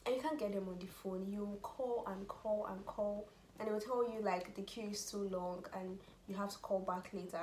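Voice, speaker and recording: A young Nigerian-accented woman talking in a lively, laughing way, her voice bouncing around an echoey room. Faint ambience hums behind her. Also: mid-range voice, moderate pace, a little hiss or hum. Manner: animated, laughing often, drops into Nigerian Pidgin. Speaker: vlogger, international student. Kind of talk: casual chat.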